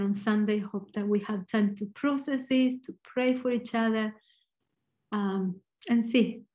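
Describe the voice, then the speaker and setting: A woman with an Argentinian accent giving a short, earnest devotional talk, reflective and pausing. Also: mid-range voice, dark tone. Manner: earnest, reflective, slow pauses. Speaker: church speaker.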